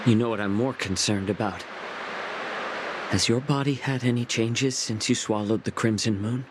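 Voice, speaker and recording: A man voicing a gentle, serene and wry physician in a video game. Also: on the low side, normal speed, background noise, natural voice. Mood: serene, reassuring. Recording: music behind the voice.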